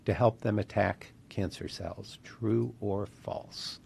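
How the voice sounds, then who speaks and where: A man's voice: lowish voice, fairly even intonation, slow, creaky, darkish tone, slight background noise. A man moderating a live webinar, introducing the speaker, running a poll and asking casual questions with "uh" fillers. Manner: friendly interviewer with "uh" fillers.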